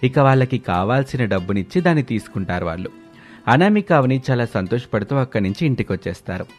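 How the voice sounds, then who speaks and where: A man narrating a family story in an even storytelling tone, with soft music behind. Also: mid-range voice, quick pace.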